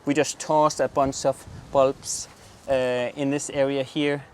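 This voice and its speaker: An enthusiastic man with a Danish accent walks through a garden, chatting casually about what is in bloom. He keeps exclaiming over the plants and pointing things out. His voice sits at an ordinary middle pitch for a man. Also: completely flat delivery, measured pace, a little mellow, slight background noise. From southern Denmark. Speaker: garden show host. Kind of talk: casual garden tour chat. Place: outdoor garden.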